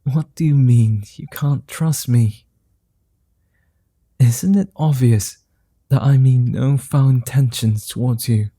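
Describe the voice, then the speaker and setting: Male vampire, gentlemanly and amused, man voicing: extremely slow delivery, very dark and mellow tone, formal old-world phrasing, ASMR roleplay. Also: medium pitch, no room sound, studio quality. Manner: formal, old-fashioned phrasing with soft chuckles.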